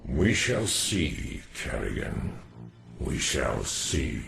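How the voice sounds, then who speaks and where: A man playing an ancient, solemn alien warrior in a video game, speaking gravely and formally with a deep gravelly voice. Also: very animated, exaggerated voice. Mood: bitter. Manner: slow, grave, formal speech. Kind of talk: dramatic video game acting. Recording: loud game music underneath.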